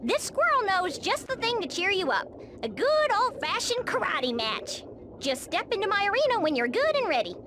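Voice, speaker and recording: A woman's voice: very high voice, wildly swooping pitch, normal speed, background noise, heavily acted. A woman voicing a feisty, sporty squirrel in a cartoon video game, with a folksy, brash Texan drawl. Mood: competitive, confident. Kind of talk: animated video game voice acting.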